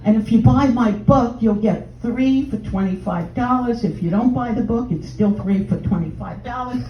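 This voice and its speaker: A woman's voice: moderate pace, slight background noise. Brash older Texan woman on stage. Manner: brash, bawdy, chatty sales pitch. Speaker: stand-up comedian in a sex-doctor persona. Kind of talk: stand-up routine, addressing audience members. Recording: roomy, distant, dull live room sound.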